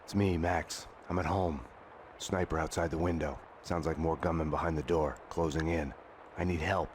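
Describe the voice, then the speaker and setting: An actor playing a brooding, world-weary police detective, narrating a noir game in a grim deadpan. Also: male voice, moderate pace, noisy background. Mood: tense, hushed. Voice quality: very deep voice. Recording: clear music under the voice.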